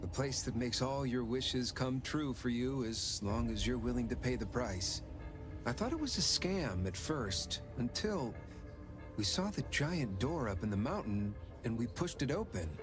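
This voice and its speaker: A man's voice: medium pitch, expressive, measured pace, natural voice. Man acting an earnest, candid perfumer. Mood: candid, explaining. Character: earnest, confessional rescued male perfumer. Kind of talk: anime game voice acting. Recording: loud music under the voice.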